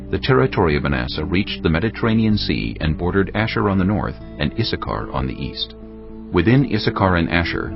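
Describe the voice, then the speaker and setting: Very deep gravelly male scripture narrator. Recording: muffled audio with music behind.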